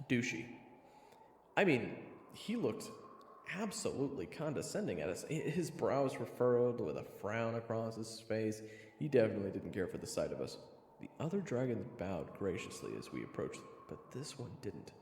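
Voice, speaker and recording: A brash, wry adventurer, acted by a man tells his own fantasy story with sarcastic asides. His low, gravelly voice leaps around with great animation and sits in a reverberant, echoey sound with music underneath. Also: darkish tone, slight background noise. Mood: indignant, scornful. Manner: informal, wry, exclamatory. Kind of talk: first-person story narration.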